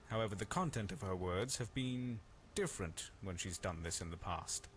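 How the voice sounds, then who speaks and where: A man acts out a dutiful male guardian from a fantasy video game, low-voiced and measured. He speaks with dry, formal wit over faint background noise. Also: a bit bright. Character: dutiful, wry male guardian of a blind priestess. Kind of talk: scripted fantasy game dialogue.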